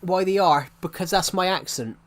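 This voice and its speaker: A man's voice: high voice, normal speed. Rambling young British man, thick accent. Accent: thick regional British. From United Kingdom, outside London. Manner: rambling, repeats himself, many restarts. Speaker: game streamer. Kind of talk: casual live-stream chat.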